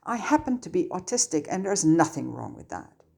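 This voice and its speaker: A woman's voice: fairly low pitch, sing-song, moderate pace, gravelly, darkish tone, heavily acted. Woman voicing teenage schoolboy wizard, self-assured, scheming, composed, formal, storybook dialogue. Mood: indignant.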